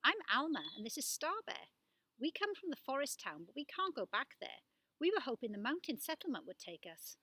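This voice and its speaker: Woman reading as an earnest, high-pitched young girl. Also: big pitch swings, fairly quick, sharp tone, heavily acted. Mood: hopeful. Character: earnest young girl fleeing with her bear. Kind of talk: storybook character voice.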